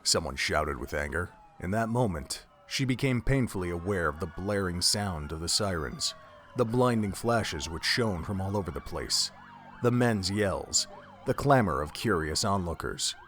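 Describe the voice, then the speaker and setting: Low-pitched male narrator of drama. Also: expressive, moderate pace, fairly bright tone, slight background noise. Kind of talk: dramatic scripted audiobook-style narration.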